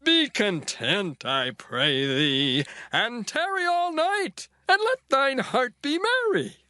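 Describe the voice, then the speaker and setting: Coaxing older father-in-law, bright and very slow, theatrical biblical drama, high register. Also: very animated, no room sound, studio quality, heavily acted. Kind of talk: dramatized scripture reading, archaic English.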